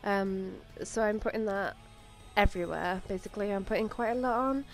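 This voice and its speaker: A woman's voice: medium pitch, moderate pace. Chatty young woman with a cold. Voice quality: slightly stuffy from a cold. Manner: chatty, rambling, lots of ums and likes. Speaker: makeup vlogger. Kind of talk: casual makeup tutorial voice-over. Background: faint music.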